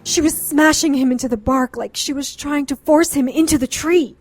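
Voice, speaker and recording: A woman's voice: sits high, normal speed, no room sound, soft background music, natural voice. A woman acting a grieving, defiant occultist doctor in an audio drama, speaking with earnest, indignant intensity. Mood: defensive, anguished.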